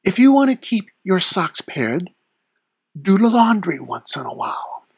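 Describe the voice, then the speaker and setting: Actor doing the devil on a comedy podcast, gravelly n husky, slow stately delivery, swoops around, super dry wit. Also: medium pitch, very animated, no room sound, full character voice. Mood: dry, stern. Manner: measured, deliberate, dry pronouncements. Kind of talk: comedic podcast interview in character.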